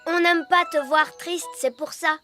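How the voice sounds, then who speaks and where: A woman voicing an earnest, playful little elf boy in a French cartoon, childlike, deliberate and expressive. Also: extremely high pitch, music in the background. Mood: eager.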